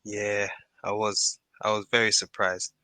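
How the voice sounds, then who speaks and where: Male panellist, sharp bright voice, plain-spoken football chat, dry sound. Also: lowish voice, little pitch movement, a little slow, dry recording. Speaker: podcast panellist. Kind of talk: casual football discussion.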